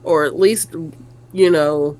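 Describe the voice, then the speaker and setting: A woman with a Southern accent, co-hosting a casual review chat, telling stories in an animated way and chiming in with quick agreement. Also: deep voice, low-quality recording. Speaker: podcast co-host.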